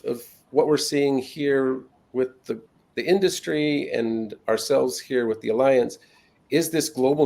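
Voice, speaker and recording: A male host opens a video-call interview in a friendly, loose style, full of fillers. His voice has a gravelly edge at a mid pitch. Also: darkish tone. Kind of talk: casual interview hosting.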